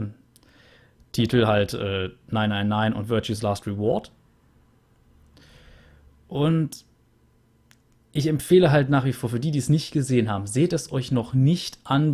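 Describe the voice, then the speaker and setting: A man's voice: medium pitch, a little mellow. Young guy chatting loosely about a game he just finished, lots of ums, home usb mic. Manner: chatty and rambling, with many fillers. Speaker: let's play host. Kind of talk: casual game wrap-up commentary. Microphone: USB studio microphone.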